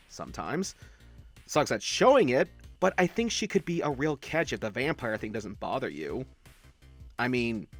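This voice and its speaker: A man voicing a friendly, chatty young male werewolf who talks in teasing gamer slang in an audio roleplay. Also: medium pitch. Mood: teasing, earnest. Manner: slangy, hushed-to-excitable, teasing.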